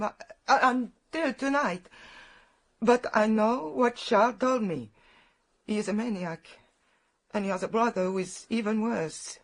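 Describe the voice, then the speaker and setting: A haughty French housekeeper in a radio mystery, middle-aged and fiercely proud. Her pitch moves dramatically up and down, giving her guarded, impatient words an anguished edge. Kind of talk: radio detective drama acting.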